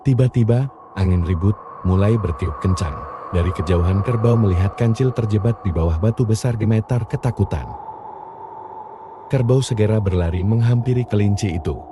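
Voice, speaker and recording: A man narrating scripted children's bedtime stories with a dark, husky voice. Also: fairly low pitch, normal speed, background noise. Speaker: storyteller narrator.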